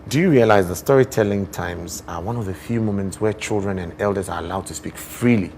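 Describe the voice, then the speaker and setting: A Ghanaian man explaining things as a guest in a TV interview, expressive and deliberate, often posing rhetorical questions. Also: fairly low pitch, a little hiss or hum. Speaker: guest poet and storyteller.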